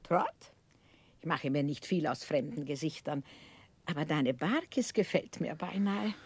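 An actress playing a blunt, sharp-tongued but tender-hearted older aunt in a 1960s German radio play, probing and exclaiming. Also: female voice, low voice, lively intonation, measured pace, breathy edge, no echo, heavily acted. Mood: wry, fond.

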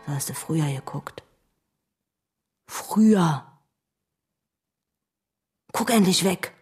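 An actress playing a bitter, worn-out ex-wife in a naturalistic radio play, accusing in a hushed voice. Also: female voice, lowish voice, expressive, takes it slowly, husky, faint music behind, exaggerated voice. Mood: hurt, accusing. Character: bitter, worn-out ex-wife, pleading to be left alone.

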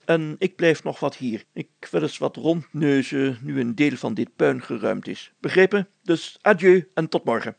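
Pompous, gravelly village mayor acted by a man. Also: fairly high pitch, expressive, normal speed, few pauses, dry recording, exaggerated voice. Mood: commanding. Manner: pompous, hesitant hems and repeats. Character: bossy, sly village mayor, feigning kindness, male.

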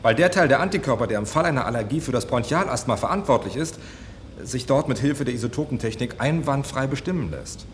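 Actor as impatient male doctor, fast talking, medium pitch, eager, radio play, faint ambience. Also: animated, a little hiss or hum, natural voice. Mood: insistent, explaining. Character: man, eager hospital doctor defending his allergy theory. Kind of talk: German radio play acting.

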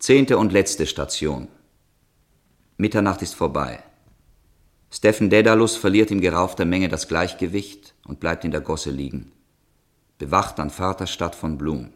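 A man's voice: low-pitched, unhurried, long pauses. A man announcing scenes in scripted fashion for a literary radio drama.

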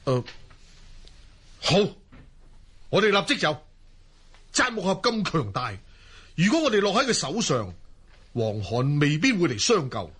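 An earnest young warrior chief, played by a man speaks Cantonese in an audio drama. The voice is rough and breathy, a little high, with lively emphasis and a dutiful, resolute bearing. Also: unhurried, pauses often, exaggerated voice. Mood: troubled, decisive. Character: earnest, resolute young Mongol chieftain, deferential to his elder.

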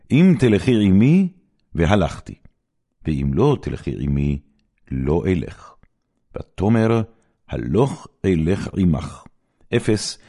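A man reading scripture as a narrator, in a solemn, formal, dramatic style with measured, declamatory phrasing. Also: low voice, warm tone, breathy edge.

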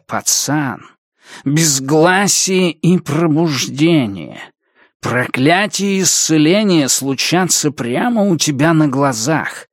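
Audiobook villain, acted by a male narrator: small vengeful fanatic, pitched up, drawling out menacing threats, dry close sound. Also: no room sound, heavily acted. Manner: slow, drawn-out, threatening delivery.

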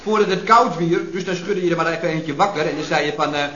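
Bright, high-voiced cheeky lad. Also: male voice, fast talker, slight background noise. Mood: defensive. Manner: insistent, colloquial, answering back. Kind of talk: 1970s Dutch radio comedy acting. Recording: dull, echoey archive radio recording.

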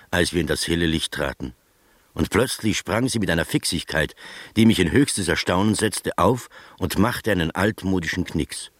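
Deep-voiced gentleman storyteller, dry sound. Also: no room sound. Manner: measured, literary storytelling. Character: gentleman narrator recounting his strange adventures, bemused, literary. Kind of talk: scripted narration in radio drama.

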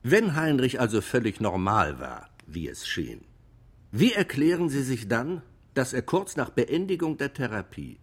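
An actor playing a formal male examiner in a radio drama courtroom, putting measured, probing questions to witnesses. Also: medium pitch, very animated, slightly rough, full character voice.

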